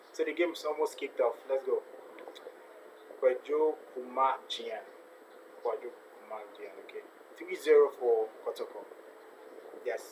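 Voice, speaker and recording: A man's voice: mid-range voice, noisy background. A man hosting a live football watch-along stream, chatting casually, repeating himself and reading viewer comments aloud. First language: not a native Ghanaian; speaks with a foreign accent.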